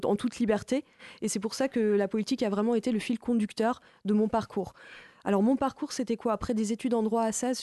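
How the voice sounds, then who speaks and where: A woman answers as a political guest in an interview. Her voice is soft-edged and relaxed, a little high. She explains in an orderly, teaching manner, in a dry, close recording. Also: dry recording. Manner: didactic, structured, enumerating points.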